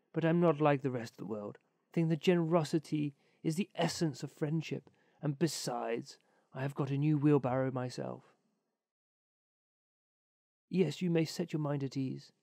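A man's voice: a little high, warm tone, no room sound, close to an everyday voice. A man voicing a selfish, self-important miller in a storybook, pompous and patronizing as he plays the generous friend.

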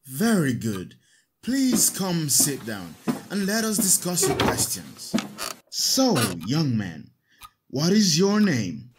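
An actor playing a gracious, gentle African priest in an audio drama, with formal, courteous phrasing. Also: male voice, fairly high pitch, wildly swooping pitch, slow pace, noisy background, heavily acted. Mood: welcoming.

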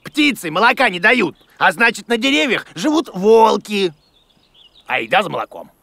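Creaky, grumpy bearded cartoon creature. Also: male voice, high voice, very animated, moderate pace, bright, forceful tone, exaggerated voice. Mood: confident, blustering. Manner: blustery, exclamatory, mock-scolding. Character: grumpy, muddle-headed bearded creature, a bumbling self-sure teacher. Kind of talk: children's cartoon acting.

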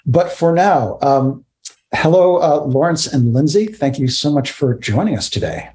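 A man's voice: fairly high pitch, animated, moderate pace, dark tone. A middle-aged man hosting an interview over a video call, speaking in a hesitant, wordy, drily jokey way. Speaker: interview host. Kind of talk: spoken introduction and interview questions. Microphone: webcam on a video call.

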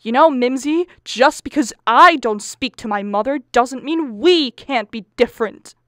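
A woman's voice: high-pitched, expressive, unhurried, no room sound, clean studio sound. A woman playing an anxious, bickering middle-aged wife and exasperated mother in a radio comedy play, pleading and arguing melodramatically. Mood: wounded, pleading. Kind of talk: stage comedy acting for radio.

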